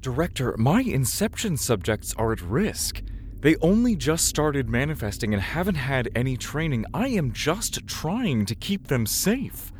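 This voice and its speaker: Man playing an earnest, very animated alien warden. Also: mid-range voice, unhurried, a little hiss or hum, pitched above the performer's own voice. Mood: anxious, pleading. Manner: earnest, polite, formal wording. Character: kind-hearted, eager, boyish male alien warden. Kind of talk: fiction podcast acting.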